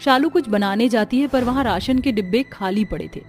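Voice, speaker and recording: Fairly high-voiced woman storyteller. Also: brisk, soft background music. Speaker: narrator. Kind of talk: scripted Hindi children's moral story narration.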